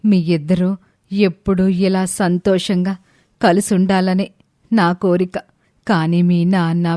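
A woman's voice: medium pitch, mellow, dry recording, studio quality, close to an everyday voice. A woman voicing a lonely, affectionate elderly grandmother in a family story, tender and plaintive. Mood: wistful.

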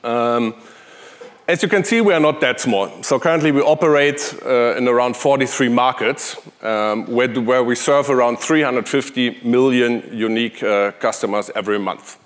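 A man's voice: mid-range voice, moderate pace. A man in his forties with a German accent, giving a conference keynote on a large hall stage through a headset mic, chatty with many fillers and restarts. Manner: conversational, with frequent fillers and restarts. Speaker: conference speaker, tech executive.

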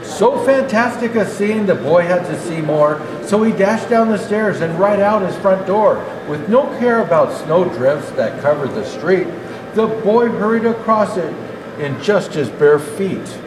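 An older man reading a children's rhyming storybook aloud as Santa Claus, in a measured, expressive delivery. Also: high voice, crowd noise. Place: indoor natural history museum hall. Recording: echoey hall, clearly noisy background.

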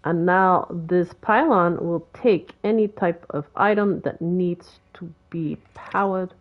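A woman with a Boston accent talks through a game build in a loose, rambling way, chatting to the objects on screen. Her voice sits fairly low. The recording sounds a little dull and lo-fi. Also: slow pace. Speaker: game video creator. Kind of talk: casual gameplay tutorial commentary.

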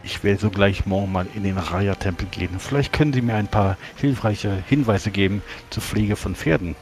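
A man playing a naive, well-meaning gentleman adventurer in a tabletop roleplay, with hesitant, prim and genteel phrasing. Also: fairly low pitch, moderate pace, lightly acted. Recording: music behind.

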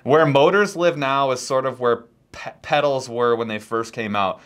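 Animated man, casual fishing chat. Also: medium pitch, normal speed. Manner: animated, talks with his hands, restarts a lot. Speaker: podcast co-host. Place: small room full of fishing gear, indoors. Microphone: lapel mic.

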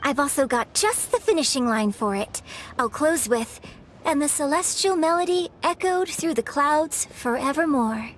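High-voiced, graceful young opera singer for a game, poised and teasing. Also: female voice, lively intonation, normal speed, a little hiss or hum. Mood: fond. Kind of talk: game voice acting. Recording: game audio with faint music behind.

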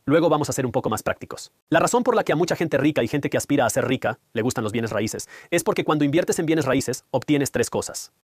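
A man whose first language is Punjabi explains money matters straight to the listener, at an ordinary pitch for a man. He speaks close to the mic, and the sound is dry and dead, with no room echo. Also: expressive, fast talker, few pauses, husky. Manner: direct, explanatory, addresses viewer. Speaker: finance educator. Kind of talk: whiteboard finance lesson, dubbed voice-over.

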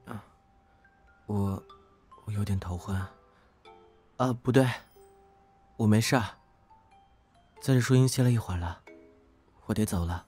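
A man's voice: lowish voice, unhurried, pauses often, warm tone, slightly rough, soft background music. A young man playing a shy, conscientious trainee traffic policeman in an audio drama, hesitant and earnest. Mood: flustered.

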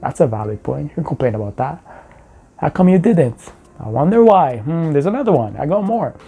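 Laid-back young man mocking with a mellow voice. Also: on the high side, very animated, fairly quick, gravelly, husky. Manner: laid-back, mocking, says bro often. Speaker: reaction video host. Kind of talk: casual commentary.

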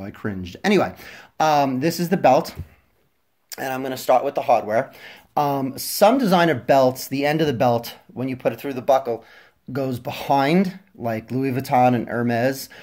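A man with a congested, stuffy voice from a head cold, casually reviewing a product at home with lots of ums and restarts. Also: mid-range voice. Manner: chatty, many ums and restarts, drops softer for close-up details. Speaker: fashion vlogger. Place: indoors at home, filming over a carpeted floor.

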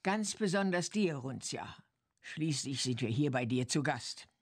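A woman playing a dignified, cautious old head witch with a low, husky voice and formal manner in a children's game. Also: lively intonation, no echo, studio quality, exaggerated voice. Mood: solemn. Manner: formal, measured. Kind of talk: German children's audio-play acting in a game.

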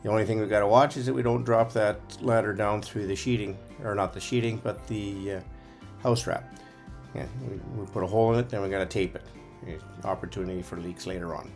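A man's voice: fairly low pitch, steady tone, a little fast, a little mellow, soft background music. A man giving a casual, folksy running commentary as a vlog host, explaining as he goes.

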